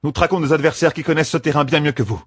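A man's voice: a little high, racing, slightly rough, no echo, studio quality, voice pushed up. A man voicing a determined young woman leader in an audiobook, urgent and authoritative.

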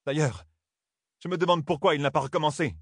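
A man voicing a fiery older woman warrior serving as a maid, shrill and commanding. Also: a little fast, breathy edge, no room sound, clean studio sound. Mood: fierce.

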